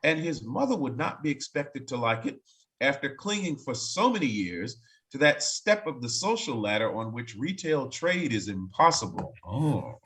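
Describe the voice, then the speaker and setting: A man's voice: medium pitch, sing-song, moderate pace, a bit bright. A man narrating in a staged reading, reading aloud expressively and breaking off for wry, casual asides.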